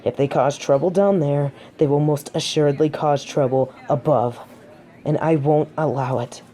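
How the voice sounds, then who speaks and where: A woman's voice: deep voice, steady tone, moderate pace, mellow, close to an everyday voice. A woman playing a proud, haughty fallen angel of judgement in a scripted audio roleplay, dramatic and defensive. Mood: bitter, defensive.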